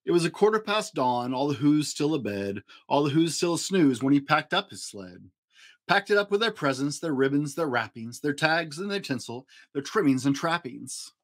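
A man in his forties giving an expressive, rhyming storybook narration for children. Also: a little high, moderate pace. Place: small home room, indoors.

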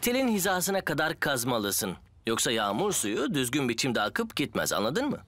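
A lively, cheerful cartoon builder. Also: male voice, medium pitch, moderate pace. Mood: patient, encouraging. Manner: instructive, good-humoured. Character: cheerful, patient male builder and team leader. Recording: music behind the voice.

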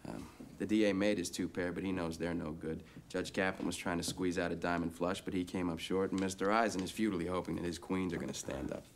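An actor playing a polite, quietly confident young law student in a film, deferential but sure of himself. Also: male voice, sits low, flat delivery, quick pace, few pauses, a little hiss or hum. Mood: polite, assured. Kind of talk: naturalistic film acting.

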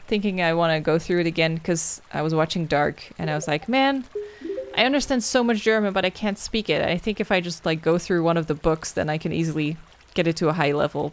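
A young adult woman, a game streamer wrapping up with casual, rambling chat as she thanks viewers by name and answers their questions. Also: on the low side. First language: Dutch.

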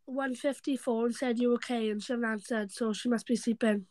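A woman's voice: a little high, fairly even intonation, brisk, darkish tone, no room sound. A young Welsh woman co-hosting a livestream from home, chatting casually and going over the same details twice. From Wales. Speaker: livestream co-host. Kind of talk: casual chat.

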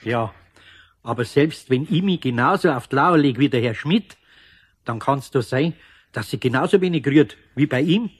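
A man playing a kindly, slightly gruff older carpenter with a Bavarian accent, speaking naturally and patiently in a radio play. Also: medium pitch, animated, moderate pace. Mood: sceptical.

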